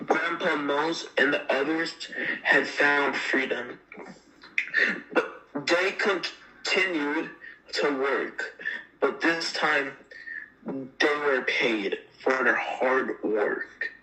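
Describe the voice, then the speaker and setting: Slow, bright-voiced man reading a story. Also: on the high side, poor audio. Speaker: reader of a children's picture book. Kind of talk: read-aloud storytelling.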